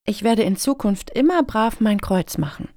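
A woman's voice: mid-range voice, lively intonation, moderate pace, warm tone, no room sound, close to an everyday voice. A woman playing a dry, sardonic participant in a panel hearing, in a satirical radio play.

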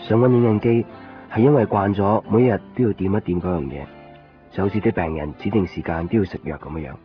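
Radio drama lead for a male actor: deep, courteous, introspective male patient, dulled broadcast sound, light music underneath. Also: fast, close to an everyday voice. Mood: reflective. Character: polite, brooding male hospital patient. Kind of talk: radio drama acting with first-person narration. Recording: muffled 1990s radio drama, faint music.

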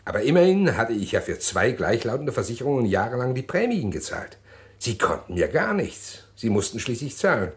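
An actor as an intense condemned murderer, swooping delivery. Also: male voice, medium pitch, very animated, natural voice. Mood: bitter, matter-of-fact. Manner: detailed, deliberate recounting breaking into agitated pleading. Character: condemned male murderer confessing, desperate, cold-blooded schemer. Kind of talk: German radio crime drama acting.

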